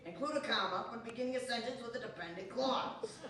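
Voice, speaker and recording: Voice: medium pitch, brisk, pushed and bright, lightly acted. A performer playing an exasperated outlaw who is a pedantic stickler for grammar in a stage Western, lecturing with precise diction. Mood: frantic lecturing. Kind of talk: stage show of old-time Western radio drama. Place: theater stage with distant microphone. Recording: echoey stage from far off, faint noise.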